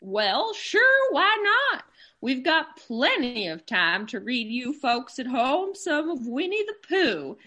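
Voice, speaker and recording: A prim, perky homemaker co-hosting an old-time radio cooking show. She gushes politely in a high, bright voice with lively swoops. Every phrase is presented to the folks at home. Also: slow, heavily acted. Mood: upbeat.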